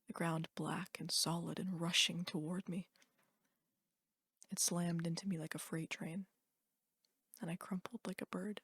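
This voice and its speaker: A woman giving a dramatic reading of a first-person horror story in a breathy, whispery, deliberate voice. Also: on the low side, flat delivery, fairly bright tone, no echo, studio quality.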